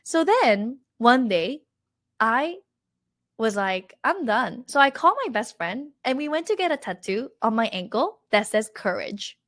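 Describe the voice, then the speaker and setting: A woman's voice: fairly high pitch, very animated, a little slow, a bit bright. A woman in her thirties teaching an online language masterclass in an encouraging, motivational way, acting out her own past words as she goes.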